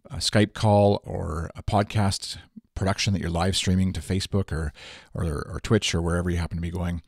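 A young man with a bassy voice, talking through a software tutorial in a relaxed way with plenty of fillers, on a studio microphone. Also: dry recording. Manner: relaxed explaining with frequent fillers. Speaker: tutorial presenter. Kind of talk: casual screen-recorded software tutorial. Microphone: studio microphone through USB interface.

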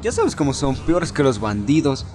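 A loyal, nerdy, slightly anxious male sidekick from an adventure game fan dub, played by a man. He has a medium-pitched voice and a Latin American accent, with faint background noise. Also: sing-song, moderate pace, natural voice. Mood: concerned, wry.

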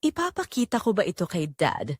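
A woman's voice: lowish voice, huge pitch swings, fairly quick, a little mellow, husky, no echo, clean studio sound, full character voice. Woman voicing a troubled, questioning young Filipino son. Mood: torn, anxious. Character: troubled, anxious young son torn by a secret.

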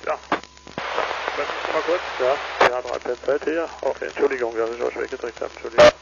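A man's voice: mid-range voice, brisk, creaky. A man chatting casually as an amateur radio operator over a two-way transmission. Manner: tags statements with "ne?". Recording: dull, noisy ham radio transmission.

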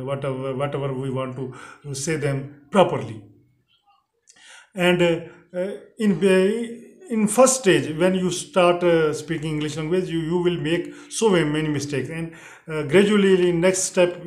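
Hesitant Urdu-speaking man, echoey room. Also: fairly high pitch. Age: middle-aged. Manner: hesitant, frequent uh fillers and restarts. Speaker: English teacher. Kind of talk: spoken English lesson to camera. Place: indoors, plain teal backdrop. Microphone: camera in front, head and shoulders framing.

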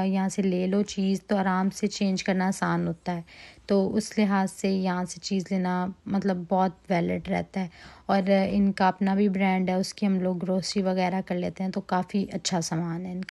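A woman's voice: medium pitch, gravelly, a little mellow. A young adult woman narrating a shopping vlog as a casual voice-over, chatty and listing items with frequent fillers. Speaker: vlogger. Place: quiet indoor room, voice-over.

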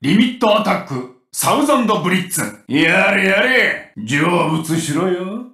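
A brash, high-pitched warrior man. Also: sing-song, measured pace, reverberant, far from the mic, heavily acted. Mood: fierce, commanding, then cool, dismissive. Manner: forceful, rough-spoken, cocky. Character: gruff, brash grown male warrior who loves cooking. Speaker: game voice actor. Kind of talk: Japanese anime video game battle voice clips.

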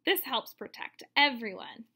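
Bright, high-voiced woman reading to children. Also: unhurried, gravelly, breathy edge. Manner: expressive, explanatory, speaking simply to children. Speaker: teacher reading a children's book aloud. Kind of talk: read-aloud for children. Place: indoors, room at home.

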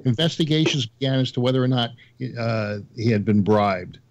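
A man on a casual video-call panel, recounting facts slowly and haltingly with many fillers. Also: medium pitch, no room sound, highs cut off. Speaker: panel guest. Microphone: webcam on a video call.

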